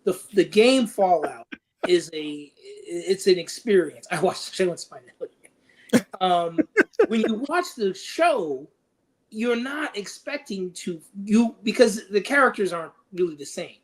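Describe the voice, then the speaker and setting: Tentative, mid-pitched casual panelist. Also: animated, slow, low-quality recording. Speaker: panelist on a film and TV discussion show. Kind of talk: casual discussion.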